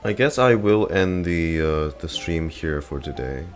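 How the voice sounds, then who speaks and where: Low-voiced man streaming a game. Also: animated, unhurried, faint music behind. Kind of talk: casual livestream gameplay commentary.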